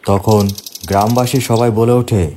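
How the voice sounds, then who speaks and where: A man's voice: fairly low pitch, steady tone, unhurried, dark tone, slight background noise. A man reading scripted narration for a horror story.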